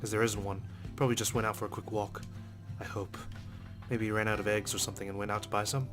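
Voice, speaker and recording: A man reads visual novel narration aloud as a let's play host, dropping in casual asides. His voice is low and flat in pitch, and he moves fast, with guitar music clearly behind him.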